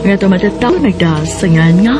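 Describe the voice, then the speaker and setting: A woman announcer introducing a radio drama in a theatrical, emphatic, drawn-out way. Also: mid-range voice, expressive. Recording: music behind the announcer.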